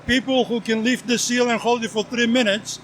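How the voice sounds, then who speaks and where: A man from Greece with a Greek accent, a coffee vendor giving an earnest sales pitch outdoors at a race event. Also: level tone, fairly quick, fairly bright tone, slight background noise.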